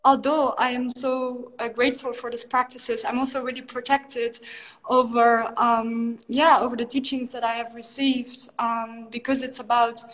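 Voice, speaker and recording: Woman, young adult, Dutch accent, slightly high voice, earnest, reflective, video call guest. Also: level tone, moderate pace, fairly bright tone, poor audio. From Netherlands. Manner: earnest, reflective, many fillers. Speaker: guest, tantra teacher. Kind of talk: casual podcast conversation.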